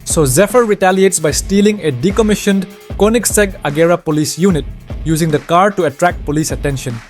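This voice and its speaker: A male narrator with a slightly high voice reads a scripted story summary. Faint music plays behind him. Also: brisk. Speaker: narrator of a game story recap.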